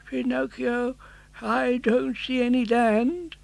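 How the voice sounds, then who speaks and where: A man's voice: very high voice, level tone, slow, creaky, no echo, voice pushed up, full character voice. A man voicing a tired, tender old father in a storybook reading. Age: older.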